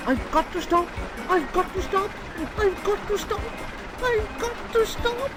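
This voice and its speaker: A man voicing James, a vain and boastful young male engine who turns shrill when scared. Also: sing-song, slow, music in the background, heavily acted, rough recording. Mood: panicked. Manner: haughty, then shrill and panicky.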